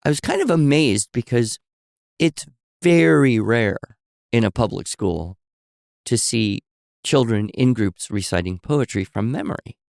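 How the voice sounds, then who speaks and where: A man co-hosting a podcast, telling stories in a relaxed conversational way and explaining with examples and asides. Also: mid-range voice, a little slow, gravelly, no echo, clean studio sound. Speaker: podcast co-host. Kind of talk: conversational storytelling.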